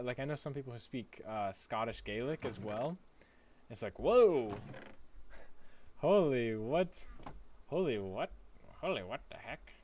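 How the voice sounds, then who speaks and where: Dude rambling on his game stream, goofy and chatty, mid-pitched voice. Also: darkish tone, slight background noise. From United States. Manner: chatty and playful, reading chat aloud and laughing often. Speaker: livestreamer. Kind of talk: casual gaming livestream chat.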